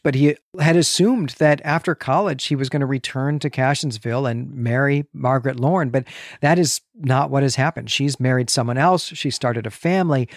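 A man's voice: medium pitch, no room sound, studio quality. A man co-hosting a book podcast, explaining things in long, thoughtful, conversational sentences. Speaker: podcast co-host discussing a novel.

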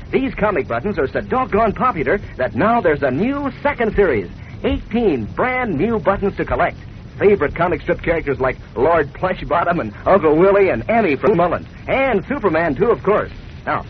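A man announcing in a chummy 1940s commercial style, fairly high and very animated. He sounds folksy and cheery. The recording is dull, with faint noise. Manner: chummy, cheery, addressing young listeners. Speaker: radio announcer. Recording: muffled, faintly noisy 1940s radio recording.

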